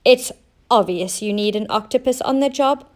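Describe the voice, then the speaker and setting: A woman voicing a gentle, apologetic, helpful male octopus in a children's storybook. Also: on the high side, normal speed, natural voice.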